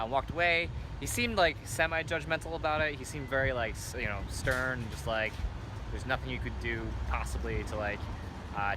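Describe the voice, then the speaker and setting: A man talking informally to a vlog audience, thinking aloud in a halting way. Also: medium pitch. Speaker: vlogger. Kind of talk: casual spoken vlog, informal talk. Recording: noisy outdoor ambience with traffic.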